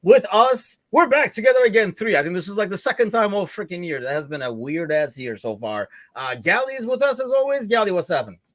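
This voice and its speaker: A man's voice: high voice, sing-song, a little fast, few pauses, a bit bright, no room sound. A man from Turkey hosting a live football podcast, talking loosely with lots of fillers. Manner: loose and chatty, many fillers. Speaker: podcast host. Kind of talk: casual podcast chat. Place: Chicago.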